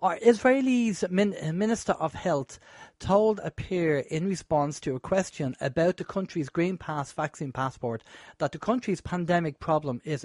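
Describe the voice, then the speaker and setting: A man hosting a radio show, chatty and rambling, a bit hoarse from a sore throat. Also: fairly high pitch, darkish tone, no room sound, clean studio sound. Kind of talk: casual radio talk.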